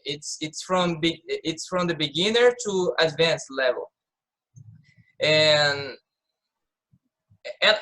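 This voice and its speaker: Man, late twenties, bright tone, halting repetitions. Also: a little high, poor audio. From Brazil. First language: Portuguese. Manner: halting, repeats words while searching. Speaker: participant. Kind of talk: casual group discussion.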